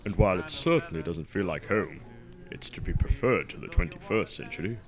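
A man playing a wary, formal gentleman from the past in an audio drama. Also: deep voice, lively intonation, vocal fry, faint music behind. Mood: uneasy wonder. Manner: formal, deliberate wording.

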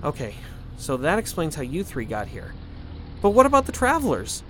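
Student sidekick played by a young man: inquisitive, doubting, higher male voice, lively inflection. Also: normal speed, a little hiss or hum. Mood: questioning. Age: young adult. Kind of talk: audio drama acting.

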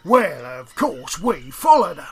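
A man performs a dim London henchman with a Cockney accent for an audio drama. He drawls slowly and plaintively in rough grammar, with a very mobile pitch. A crowd and birds are heard behind him. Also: very animated. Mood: matter-of-fact. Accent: Cockney English. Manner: plaintive, rambling, folksy bad grammar. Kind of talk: audio play acting.

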